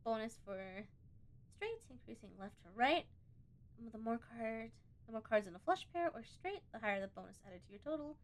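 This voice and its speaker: A woman doing a casual let's play, reading on-screen game text aloud and breaking off to think out loud. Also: a little high, big pitch swings, normal speed, slight background noise. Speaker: gaming video host.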